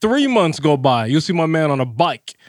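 A man's voice: a little high, very animated, normal speed, no echo. Male co-host from Barbados, a patient storyteller, dry close mic. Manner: builds a story step by step. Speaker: podcast co-host. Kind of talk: casual storytelling chat.

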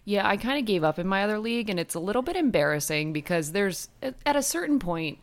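A young woman co-hosting a podcast in a casual, chatty way, animated and swearing now and then. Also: mid-range voice, quick pace. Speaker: podcast co-host. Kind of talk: casual chat.

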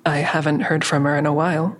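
A woman's voice: sits low, steady tone, a little fast. An actress playing an anxious, guarded woman who narrates her own interrogation in an audio drama, restrained and introspective. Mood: uneasy, defensive. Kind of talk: first-person audio drama narration and dialogue.

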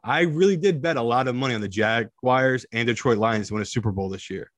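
A man's voice: medium pitch, fast, fairly bright tone, dry recording. A man co-hosting a betting show as an analyst, chatting casually in a blunt, opinionated way with emphatic repetition. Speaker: sports betting analyst, podcast co-host. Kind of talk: casual sports betting chat.

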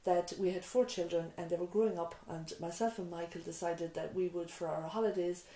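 Woman, middle-aged, mellow voice, reflective storytelling, restarts, echoey small room. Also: fairly low pitch, fairly even intonation, fairly quick. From Ireland. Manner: warm storytelling, frequent restarts and "you know". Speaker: island nurse and mother of four, interviewee. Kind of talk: reflective interview answers. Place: island nurse's surgery, small room.

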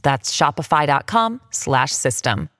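A woman with a notably low voice reads scripted ad copy. Her intonation is lively and varied, and the recording is dry and close. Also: measured pace, no room sound. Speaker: advertisement reader. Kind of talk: scripted podcast ad read.

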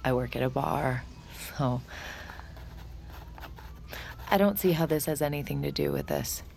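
Guarded, anxious young woman for audio drama: low register, heavy vocal fry, confessional. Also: unhurried, darkish tone, a little hiss or hum, heavily acted. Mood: defensive. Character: guarded, anxious young woman bartender, narrating her thoughts. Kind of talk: audio drama acting with intimate inner narration.

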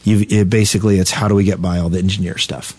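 A man's voice: sits low, steady tone, fast, a little mellow, husky. A man co-hosting a gaming podcast with casual, playful, wisecracking banter. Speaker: podcast co-host.

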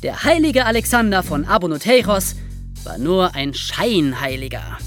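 A woman's voice: fairly low pitch, big pitch swings, moderate pace, over loud music. A woman narrating scripted stories as a podcast host. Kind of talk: scripted storytelling.

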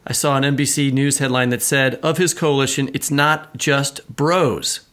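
A man's voice: mid-range voice, steady tone, normal speed, fairly bright tone. An American man co-hosting a podcast, moving between scripted introductions and conversational talk. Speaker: podcast co-host.

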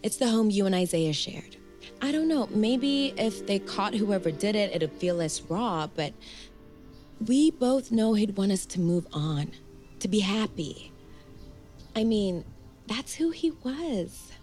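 A woman's voice: mid-range voice, lively intonation, slow pace, a little mellow, faint music behind. A woman acting a chatty, caring, teasing aunt in a naturalistic audio drama. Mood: tender, earnest.

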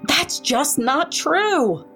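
A woman's voice: sits high, sing-song, extremely slow, a bit bright, faint music behind, heavily acted. A woman playing a defensive, rattled professional caregiver in an audio drama, earnest and emphatic. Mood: rattled, explaining. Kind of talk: naturalistic audio drama acting.

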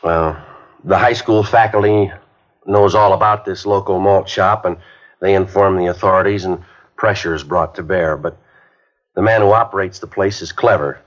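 An actor playing an earnest police sergeant rehearsing a school speech in a 1950s radio drama, heard on a muffled old broadcast recording. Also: male voice, low voice, fairly even intonation, moderate pace, lightly acted. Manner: earnest, plain-spoken lecturing. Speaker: police detective giving a speech.

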